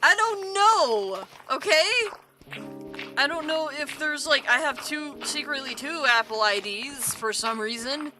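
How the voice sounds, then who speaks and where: A woman streamer with a rough voice, chatting casually and rambling while she plays, swearing now and then, into a desk microphone. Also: high voice, expressive, unhurried. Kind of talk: casual live stream chat while gaming. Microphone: desk microphone with mute button. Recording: music playing under the voice. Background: background music.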